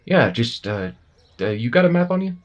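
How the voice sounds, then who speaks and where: A man's voice: medium pitch, very animated, normal speed, dull audio, close to an everyday voice. A man acting an easygoing, matter-of-fact soldier at a checkpoint in an audio roleplay, explaining things casually with a slight hesitancy. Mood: businesslike.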